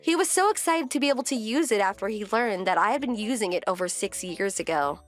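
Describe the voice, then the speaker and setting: A woman's voice: medium pitch, expressive, quick pace, soft background music, lightly acted. A woman playing a composed, wry wife who narrates her domestic story in a scripted manga dub. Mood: calm.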